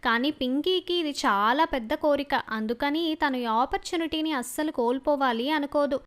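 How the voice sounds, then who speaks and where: High-pitched woman narrating a story. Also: normal speed.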